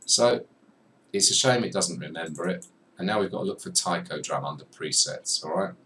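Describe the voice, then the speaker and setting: A young man giving a chatty, self-deprecating software tutorial at his desk, talking to the viewer as he clicks through menus. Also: sits low, expressive, normal speed. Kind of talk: screen-recorded software tutorial. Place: small room at a desk. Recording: slightly distant mic with faint room noise.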